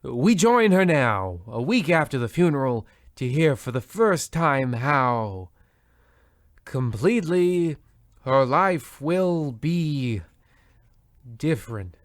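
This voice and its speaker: A man playing a pompous, bumbling horror radio show host, narrating in a deliberate, dramatic, mock-ominous way. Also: mid-range voice, big pitch swings, no echo, studio quality.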